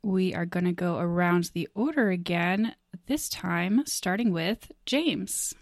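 A woman's voice: lowish voice, takes it slowly, dry recording, clean studio sound. A woman hosting a game show in a casual style, moving players through their turns.

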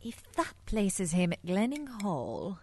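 A woman's voice: medium pitch, huge pitch swings, slow pace, mellow, no echo, full character voice. An actress playing a poised, coolly clever female spy in a radio theatre drama, with an arch, inquisitive manner. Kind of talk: scripted radio theatre acting.

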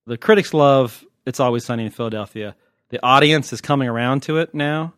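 An American man talks casually as a podcast host, with a wry and joking manner. His voice sits at a middling pitch, recorded close and dry with no room sound. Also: a little fast, fairly bright tone, no echo. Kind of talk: casual, unscripted podcast chat answering listener questions.

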